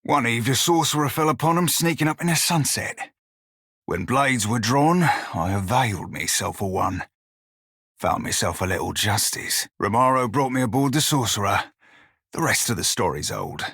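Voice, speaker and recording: Gruff wry pirate deckhand for a game, raspy n lowish, old sailor slang, really dry close sound. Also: male voice, animated, moderate pace, a bit bright, no echo. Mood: somber, bitter. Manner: folksy sailor dialect, wry and plainspoken. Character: salty blue-furred pirate shiphunter, former slave, gruff and wry. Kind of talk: video game character dialogue.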